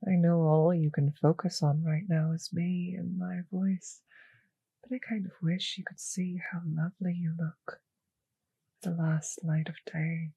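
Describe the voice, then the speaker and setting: A seductive female vampire mistress, acted out by a woman speaks in a very husky, breathy voice that often drops to a whisper. She coaxes and commands with hypnotic, possessive teasing. It is an intimate ASMR roleplay, close on the mic and very dry, with a slow purr. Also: lowish voice, warm tone, no room sound, heavily acted. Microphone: close microphone, dry.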